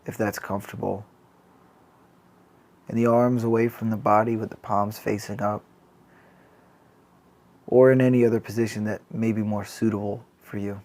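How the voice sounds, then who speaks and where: A man guiding a body scan meditation as a mindfulness teacher, calm and gentle, unhurried, leaving long pauses. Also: on the low side.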